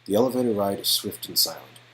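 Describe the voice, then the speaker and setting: A man's voice: lowish voice, animated, fast, a bit bright, a little hiss or hum, low-quality recording. A man reading interactive game narration aloud in a scripted, second-person storytelling style.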